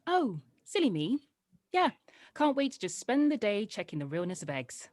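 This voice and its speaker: A woman acts out a headstrong teenage daughter in an audio drama, full of teasing sarcasm. Her mid-pitched voice moves around animatedly and is recorded quite dry. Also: very animated, moderate pace, darkish tone, dry recording. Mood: sarcastic, teasing. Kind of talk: table read of an audio drama script.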